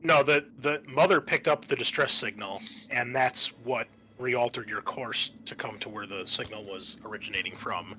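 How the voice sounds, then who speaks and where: Bright-voiced man running a tabletop game. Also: mid-range voice, normal speed, hardly any pauses, a little hiss or hum, rough recording. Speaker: game master. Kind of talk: narrating and explaining a tabletop game.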